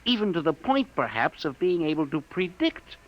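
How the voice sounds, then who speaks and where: A man's voice: on the high side, sing-song, moderate pace. An actor playing a nervous, stammering young male assistant who is deferential to his boss, in 1950s radio drama style. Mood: anxious. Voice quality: stammering, jittery. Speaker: junior employee. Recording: muffled old radio broadcast with faint hiss.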